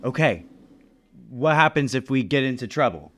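A man playing an anxious businessman who narrates a murder mystery in deliberate, dramatic 1930s radio suspense style. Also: medium pitch, animated, fairly bright tone, slight background noise, close to an everyday voice. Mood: wary.

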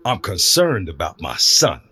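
A man's voice: low voice, huge pitch swings, measured pace, exaggerated voice. An actor playing a worried older father of a grown son in an audio drama.

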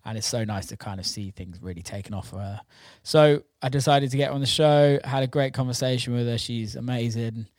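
A man's voice: medium pitch, brisk, hardly any pauses, darkish tone, dry recording, clean studio sound. A man hosting an interview show, chatting in an informal, slangy way. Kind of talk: casual conversational interview.

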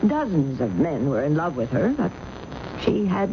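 A worldly talent manager in a 1970s radio play. Her voice is on the low side and very expressive, with a knowing, conversational ease. The old recording is noisy. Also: moderate pace, dull audio. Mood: confiding. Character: worldly, composed female show-business manager. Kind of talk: 1970s radio drama acting.

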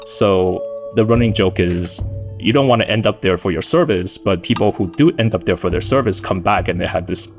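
A man's voice: sits low, brisk, soft background music. A man from Taiwan who directs a think tank, answering interview questions in a friendly, chatty way with many fillers.

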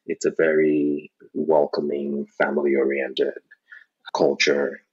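A man's voice: rumbling low, monotone, takes it slowly, darkish tone, breathy edge. A man from Nigeria who founded a company, answering interview questions frankly and confidently with occasional chuckles. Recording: dull lo-fi audio.

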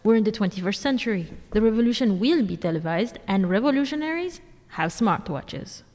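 An actress playing a confident, sassy woman revolutionary in an audio drama, brisk and self-assured, in a reverberant space. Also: mid-range voice, animated, darkish tone, close to an everyday voice. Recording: reverberant enclosed space effect.

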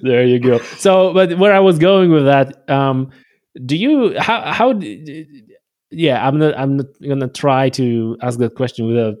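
Guy hosting a podcast, mid voice, excited, keeps restarting sentences. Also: mid-range voice, unhurried. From Poland. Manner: enthusiastic, rambling, many restarts. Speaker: podcast host. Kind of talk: conversational interview.

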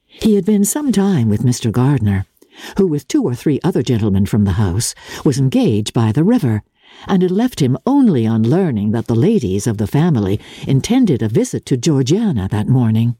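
Husky, expressive woman narrating a classic novel. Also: a bass voice, no room sound, studio quality. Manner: measured, formal, expressive storytelling. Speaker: audiobook narrator. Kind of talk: dramatic reading of classic literature.

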